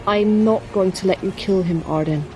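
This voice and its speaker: A woman's voice: mid-range voice, moderate pace, over music, lightly acted. A woman playing a resolute, guarded young noblewoman warrior in an audio drama, speaking tersely and seriously. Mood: defiant.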